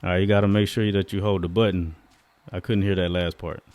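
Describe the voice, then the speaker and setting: A man's voice: low voice, little pitch movement, brisk, darkish tone, dry recording. A man vlogging a casual product test, talking colloquially and straight to his viewers. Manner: colloquial, addresses viewers directly. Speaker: vlogger, gadget tester.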